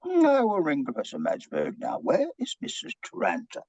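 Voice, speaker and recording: A man's voice: medium pitch, wildly swooping pitch, normal speed, darkish tone, breathy edge, no echo. A man playing an eccentric, earnest foreign psychiatrist in a comic play, pompous and theatrical with stilted grammar. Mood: grave, fervent. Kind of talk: comic stage play reading. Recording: dull lo-fi microphone sound.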